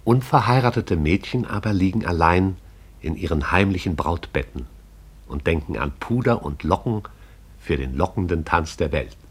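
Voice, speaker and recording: A man narrating a radio play in a measured, poetic manner. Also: low-pitched, expressive, breathy edge.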